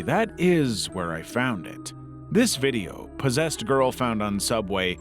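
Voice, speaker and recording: A man reading a scripted video essay as its narrator. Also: mid-range voice. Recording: music under the voice. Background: background music.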